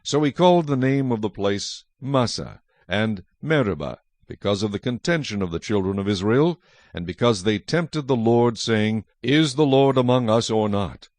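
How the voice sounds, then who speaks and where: A man narrating scripture from a script as a solemn, measured storyteller. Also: medium pitch, expressive, a little mellow, no echo.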